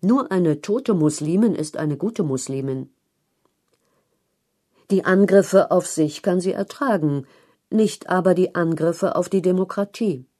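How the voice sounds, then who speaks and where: A woman narrating a written news feature in a measured, articulate read-aloud style. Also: fairly low pitch, dark tone. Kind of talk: scripted narration of long-form journalism.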